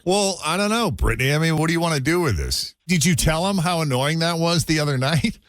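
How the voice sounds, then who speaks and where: Man, radio host, lively pitch, dry close studio mic, casual banter. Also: a little fast, no echo. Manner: laughs often, lively chatty delivery. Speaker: radio morning show host. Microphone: studio microphone.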